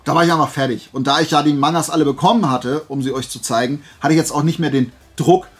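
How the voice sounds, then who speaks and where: A man's voice: a little high, steady tone, quick pace. A man hosting a haul video, rambling casually to his viewers, often drifting off track and correcting himself. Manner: chatty, digressive, self-correcting. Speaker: flea market haul video host.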